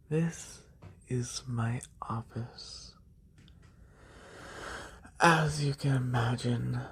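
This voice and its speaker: A performer plays a wealthy, haughty lamia noble in a soft-spoken ASMR roleplay. The voice is very breathy and often whispery, with a creaky edge. Every phrase comes extremely slowly and condescendingly, close to a binaural microphone. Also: medium pitch, heavily acted. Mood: languid, commanding.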